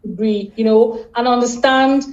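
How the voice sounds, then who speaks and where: A Nigerian woman guest chatting casually in an interview, halting and repeating words, often laughing. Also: a little high, fairly even intonation, lo-fi sound.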